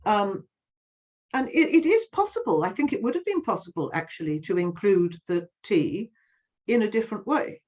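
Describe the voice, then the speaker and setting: A woman's voice: medium pitch, very animated, moderate pace, darkish tone. Impassioned older woman, lo-fi sound. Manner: impassioned, many restarts and repeated words. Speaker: interviewee, activist. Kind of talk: earnest interview answers. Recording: slightly dull, lo-fi sound.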